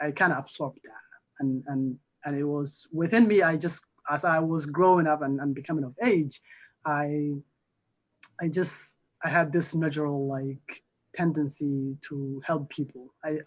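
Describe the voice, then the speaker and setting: A young man from Somalia, a newly elected council member, answering interview questions haltingly with many fillers and restarts. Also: on the high side. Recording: muffled lo-fi audio.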